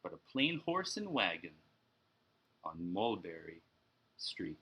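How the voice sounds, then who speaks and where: A man voicing an excitable storybook boy, reading rhyming verse in an emphatic, measured way. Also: deep voice, husky, pitched below the performer's own voice, heavily acted. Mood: flustered. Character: excitable storybook boy telling his tale. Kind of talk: expressive rhyming picture-book read-aloud.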